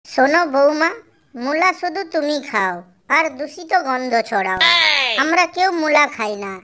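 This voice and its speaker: A woman's voice: high voice, animated, normal speed, a bit bright. A woman voicing a firm, sentimental widowed farming mother in a cartoon story, plain-spoken and instructing. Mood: scolding. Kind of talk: Bengali cartoon story acting.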